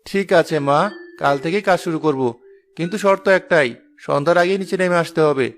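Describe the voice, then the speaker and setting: High-voiced earnest village father, acted by a man. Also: brisk, natural voice. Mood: gentle, reassuring. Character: kind-hearted village husband and doting father. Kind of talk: dramatic cartoon dialogue.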